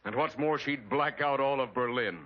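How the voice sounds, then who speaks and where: A man's voice: medium pitch, moderate pace, very noisy. An American man delivering an emphatic, dramatic scripted narration in the style of a 1940s wartime propaganda film. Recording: dull vintage 1940s film soundtrack. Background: loud orchestral film score.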